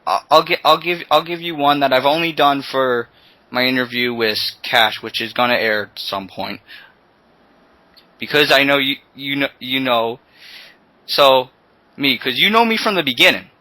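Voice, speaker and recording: A man's voice: mid-range voice, moderate pace, sharp tone, no echo. A seventeen-year-old man hosting a casual podcast chat, often repeating words and restarting as he talks. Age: teens. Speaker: podcast host.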